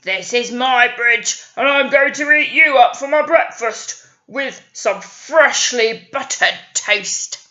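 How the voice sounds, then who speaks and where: A woman voicing a big, hungry, greedy male troll in a children's story, loud and roaring. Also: on the high side, slow, muffled recording. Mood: threatening.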